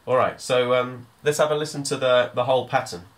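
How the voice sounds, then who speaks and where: A man giving a hands-on music software tutorial, explaining step by step in an informal, hesitant way. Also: mid-range voice, fairly even intonation, brisk.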